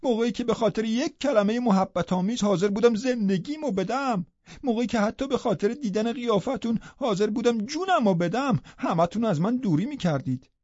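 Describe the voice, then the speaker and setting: A man's voice: high voice, lively intonation, darkish tone, no echo, lo-fi sound, pitched above the performer's own voice. A man reading an audiobook, voicing a proud, bitter young woman who scolds with contempt.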